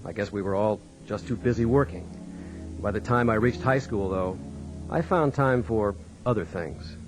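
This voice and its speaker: A man's voice: lowish voice, moderate pace, over music, close to an everyday voice. An actor with an American accent playing a farm man who tells of his wayward youth in a radio drama. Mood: reflective. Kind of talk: radio drama first-person narration.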